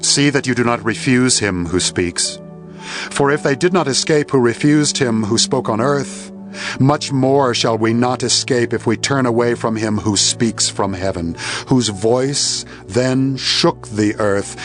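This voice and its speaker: A male narrator reads scripture in a solemn, formal style. His voice sits at a medium pitch, and his delivery is measured, with dramatic emphasis on key phrases. Music plays behind him. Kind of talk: solemn scripted Bible reading. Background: background music.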